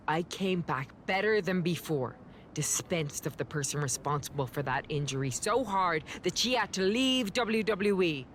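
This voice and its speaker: A woman's voice: mid-range voice, a bit bright, a little hiss or hum. A woman performing a confident, boastful wrestling champion giving an interview promo in a video game.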